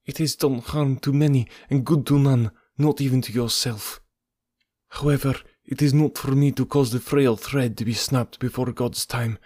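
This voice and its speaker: A man's voice: mid-range voice, steady tone, moderate pace, darkish tone, dry recording, pitched above the performer's own voice, close to an everyday voice. A man reading an audiobook, voicing a proud, exhausted foreign woman making a dignified confession. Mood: disdainful.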